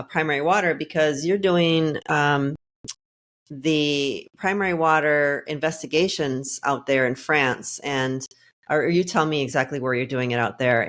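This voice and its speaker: A woman hosting a podcast interview, introducing her guest in a loose, rambling way full of restarts and fillers. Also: deep voice. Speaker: podcast host.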